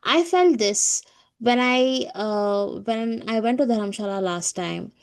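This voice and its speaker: A woman's voice: a little high, slow, clear, resonant tone. A woman from South India with an Indian accent, chatting as a co-host in a casual spiritual discussion.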